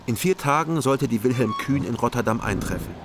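A man's voice: medium pitch, a little fast, music in the background, close to an everyday voice. An actor playing a troubled East German former policeman, narrating his own story in a radio crime drama with restrained, brooding delivery. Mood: sombre, restrained.

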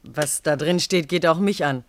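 An actress playing a pert, self-assured young woman minding an office in a German radio play, brisk and officious. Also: low voice.

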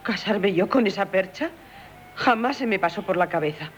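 A woman's voice: mid-range voice, expressive, normal speed, close to an everyday voice. An actress in a 1970s radio drama playing an earnest young woman, emotional and easily troubled. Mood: indignant.

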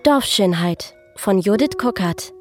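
A woman's voice: mid-range voice, expressive, unhurried, darkish tone, soft background music. A woman reading scripted credits as a radio drama announcer.

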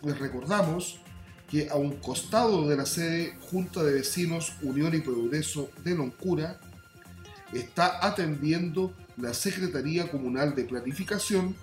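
A man reads a formal radio announcement slowly, in a fairly high male voice. His measured delivery rings with echo, and music plays behind him. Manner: measured, formal, reading out details. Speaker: announcer of a municipal radio bulletin. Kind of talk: scripted public service announcement. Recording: echoey voice over background music.

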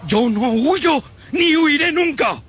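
A man's voice: very high-pitched, animated, slow pace, a bit bright, full character voice. An actor playing a fanatical, contemptuous male survivor in a radio drama, haranguing and menacing in a declamatory way. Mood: furious, menacing.

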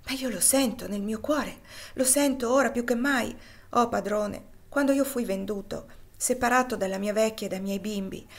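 A woman voicing a humble, devout older enslaved man who speaks softly, tenderly and pleadingly, as in an audiobook. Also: fairly high pitch, pitched above the performer's own voice. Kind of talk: audiobook character reading.

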